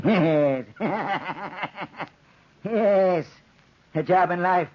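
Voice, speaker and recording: High-pitched, frantic old madman. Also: very slow, heavily acted. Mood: feverish, secretive. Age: older. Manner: theatrical, agitated, repeats phrases insistently. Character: frantic, deranged old man bent on killing a phantom. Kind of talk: 1940s radio acting. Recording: dull lo-fi 1940s radio recording.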